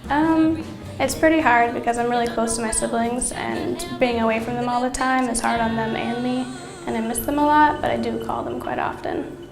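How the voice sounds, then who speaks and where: A woman's voice: a little high, moderate pace, reverberant, music in the background. A nineteen-year-old woman from Maine, chatting fondly and a little hesitantly in an interview. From Maine, USA. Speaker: student interviewee. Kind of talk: casual interview answers.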